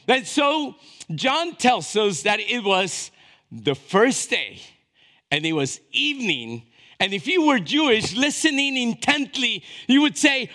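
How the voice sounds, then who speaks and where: A pastor in his fifties with a notably high voice for a man. He preaches in a conversational, storytelling way, checking in with short questions and moving from quiet asides to raised, dramatic emphasis. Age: 50s.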